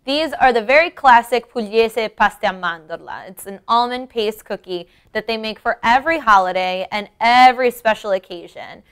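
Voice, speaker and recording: A woman's voice: on the high side, normal speed, few pauses, a bit bright, clean tone. A young woman presenting a cooking lesson in a conversational way, explaining each step directly to the viewer. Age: young adult. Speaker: cooking show host. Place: home-style kitchen, indoors.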